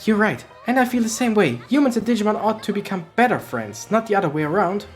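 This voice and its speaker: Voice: medium pitch, expressive, fast, soft background music, voice pushed up, close to an everyday voice. A streamer voicing a young human hero in a game, asking alarmed, urgent questions in a raised voice. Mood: earnest. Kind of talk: game dialogue read aloud.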